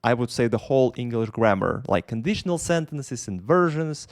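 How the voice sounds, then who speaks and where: A man's voice: medium pitch, lively intonation, moderate pace, no echo, studio quality. A man from Russia with a Russian accent, talking unscripted to his camera and rambling with many fillers and restarts. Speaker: YouTuber. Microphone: camera in front of him.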